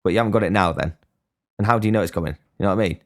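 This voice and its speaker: A man's voice: low voice, racing. A young man giving a blunt, sweary casual monologue as a podcast host. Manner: blunt, swears freely, ranting.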